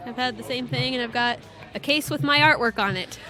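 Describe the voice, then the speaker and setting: High-voiced young woman, podcast guest. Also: brisk, pushed and bright, faint music behind, a faint crowd. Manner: short easygoing answers. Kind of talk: casual chat.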